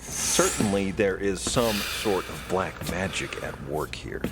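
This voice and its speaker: A man's voice: low-pitched, animated, slow, noisy background. An actor playing an earnest, suspicious, God-fearing young man in an audio drama, with formal, theatrical wording. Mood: accusing, suspicious. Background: music.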